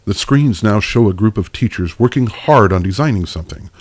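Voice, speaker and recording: A man's voice: lowish voice, animated, a little fast, no echo. A man giving scripted narration for a radio play.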